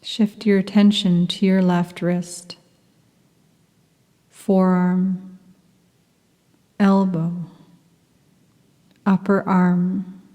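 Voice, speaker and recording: Slow, soft-spoken meditation teacher woman. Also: lowish voice, level tone, in a large hall. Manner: soft, slow, soothing, half-whispered at times. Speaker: yoga nidra teacher. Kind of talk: guided meditation.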